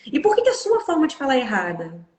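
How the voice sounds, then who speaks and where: A woman's voice: sits high, wildly swooping pitch, quick pace, a little mellow, reverberant. A woman with a Brazilian Portuguese accent, an English teacher explaining her views in an encouraging way as a guest on a live stream. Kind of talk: casual live-stream conversation.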